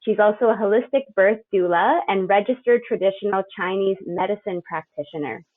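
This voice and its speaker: A woman co-hosting a podcast, introducing a guest and asking measured, structured questions. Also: mid-range voice. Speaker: podcast co-host. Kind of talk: interview hosting. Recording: tinny phone-like line.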